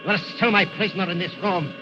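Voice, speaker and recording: A cackling mad inventor, played by a man, savours his own genius in a theatrical old-time radio style. His voice sits a touch high. The recording is muffled and full of hiss, like a worn 1940s broadcast. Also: fairly even intonation, fast, natural voice. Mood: defiant. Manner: theatrical, sneering, cackling laughter. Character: gloating mad male inventor villain.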